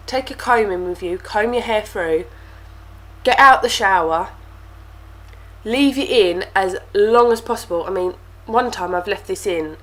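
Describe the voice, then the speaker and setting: A woman's voice: medium pitch, fairly bright tone. Chatty young woman vlogger, stuffy-nosed, rambling product talk. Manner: chatty, with lots of fillers and repeated words. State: stuffy nose from a cold. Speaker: beauty vlogger. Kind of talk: casual product review to camera.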